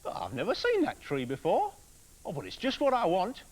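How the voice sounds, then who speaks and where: Brief: man playing a grumbling storybook woodcutter, quick to shout, very animated. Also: high voice, pitched above the performer's own voice, exaggerated voice. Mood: surprised. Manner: blustering, complaining. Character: hot-tempered poor woodcutter, middle-aged man.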